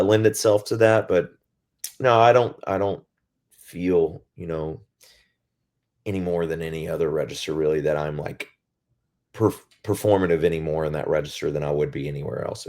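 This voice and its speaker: A male singer with a naturally very deep voice, talking casually and reflectively in an interview, often pausing to find his words. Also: darkish tone. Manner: reflective, searching for words with many pauses. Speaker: band singer as guest.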